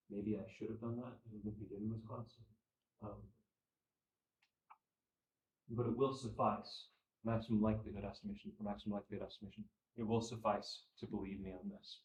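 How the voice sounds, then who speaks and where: A man's voice: on the low side, a little mellow, highs cut off. Echoey, distant male statistics lecturer. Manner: repeats phrases, checks understanding with "right?". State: losing his voice, clears throat. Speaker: statistics instructor. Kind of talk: classroom lecture. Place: classroom at a whiteboard. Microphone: far camera. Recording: echoey room, from far off.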